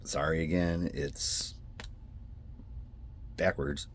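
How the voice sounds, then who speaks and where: Very deep, slow-talking rambling man. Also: fairly even intonation, slight background noise. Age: adult with a son. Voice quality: nasal. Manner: meandering, self-interrupting, apologetic. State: congested from sinus trouble. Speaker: scale model builder. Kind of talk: rambling hobby vlog.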